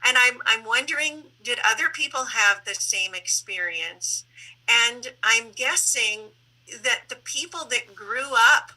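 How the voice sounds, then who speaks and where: A woman hosting an online panel in a conversational, deliberate way, with some halting phrasing. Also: fairly high pitch, pushed and bright, rough recording. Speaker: host and moderator of a public online panel.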